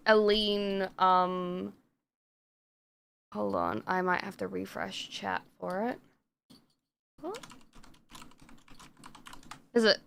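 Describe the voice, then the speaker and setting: A woman's voice: fairly high pitch, pushed and bright, clean tone. A young woman streamer chatting casually with viewers, swearing freely and laughing often. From Australia.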